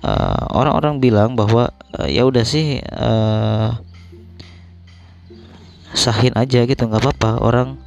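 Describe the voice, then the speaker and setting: A young man with a slightly hoarse voice, hosting a podcast alone in casual, colloquial, rambling talk as he thinks aloud. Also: mid-range voice, fairly even intonation, a little slow, long pauses. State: slightly hoarse after illness. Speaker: podcast host.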